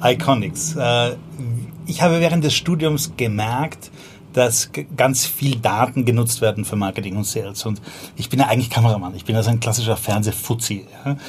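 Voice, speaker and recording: A man in his forties with a Viennese accent talks as a podcast guest, relaxed and slangy. His voice sits at an ordinary middle pitch, with a faint hiss of background noise behind him. He restarts often and peppers his talk with fillers. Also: normal speed. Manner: loose and slangy, frequent fillers and self-restarts. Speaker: podcast guest, startup founder. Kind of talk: casual conversational storytelling.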